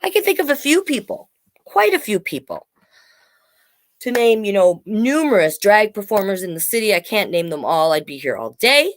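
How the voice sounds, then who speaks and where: Woman, storytime host, conversational, highly varied intonation, questioning, animated. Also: normal speed. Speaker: storytime host, drag performer. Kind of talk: chatty live storytime hosting for children.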